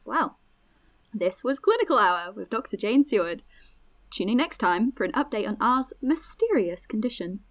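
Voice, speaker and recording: A woman's voice: mid-range voice, sing-song, moderate pace, fairly bright tone. A woman playing an eager, friendly young podcast host in an audio drama, chatty, encouraging and inquisitive. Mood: upbeat, welcoming.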